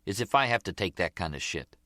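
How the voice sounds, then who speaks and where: A man's voice: low-pitched, expressive, brisk, sharp tone, no room sound, studio quality. A man voicing an eager, resentful young mob underling in an audiobook, whiny and insistent, with street-tough grammar. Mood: indignant.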